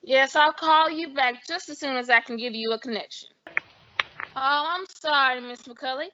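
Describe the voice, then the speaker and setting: An actress playing a gossipy, harried small-town telephone operator, chatty and brisk, in old-time radio style. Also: female voice, sits high, dull audio, noisy background. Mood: harried, businesslike.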